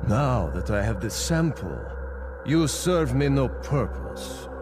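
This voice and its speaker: An actor playing a smug, cruel male cult leader in a video game, pompous and deliberate. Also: medium pitch, very animated, noisy background. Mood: smug menace. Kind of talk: video game cutscene acting. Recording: music and effects behind.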